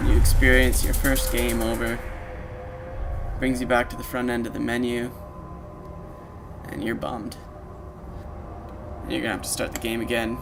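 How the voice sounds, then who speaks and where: Male demo narrator, medium pitch, informal and explanatory, talking over prominent game music. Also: level tone, moderate pace, creaky, pauses often. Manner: informal, explanatory, addressing the listener as the player. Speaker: presenter of a video walkthrough, game sound designer. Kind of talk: casual walkthrough narration of a software demo. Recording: loud game music under the voice. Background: video game music and sound effects.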